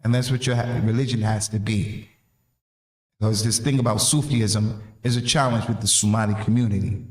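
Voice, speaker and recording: A man's voice: on the low side, steady tone, normal speed, a little mellow. American man preaching in an echoey room. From North America. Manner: emphatic, repeating key phrases; colloquial, with rhetorical questions. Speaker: preacher giving a religious reminder to youth. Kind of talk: informal sermon.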